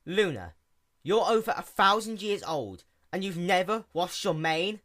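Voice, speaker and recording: Woman as a bright, matter-of-fact sea pony mechanic. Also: fairly low pitch, expressive, unhurried, voice pushed up. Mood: explaining, incredulous. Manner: plain-spoken, explanatory.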